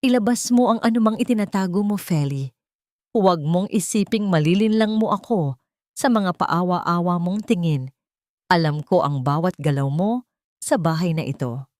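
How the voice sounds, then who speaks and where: A woman's voice: lowish voice, moderate pace, a little mellow, no echo, studio quality, close to an everyday voice. A woman voicing a menacing, hateful old matriarch in a story, threatening and commanding.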